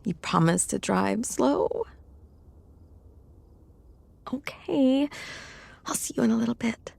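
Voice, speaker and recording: A woman playing a shy, affectionate lamia, soft and hesitant, often near a whisper, in an intimate roleplay audio drama. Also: fairly high pitch, expressive, takes it slowly, no room sound, exaggerated voice. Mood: chatty, worried. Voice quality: breathy, often dropping to a whisper. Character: shy, cold lamia snake-woman, affectionate childhood friend.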